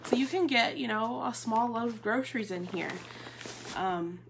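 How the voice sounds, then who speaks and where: A woman in her thirties chats her way through a live product demo from her living room, filling pauses with ums. Her voice has a gravelly, creaky edge, and the stream carries a clear hiss of noise. It sounds like a phone or webcam at table distance. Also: on the high side. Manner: chatty, casual, frequent ums. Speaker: bag consultant demonstrating products. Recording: noisy Facebook Live stream.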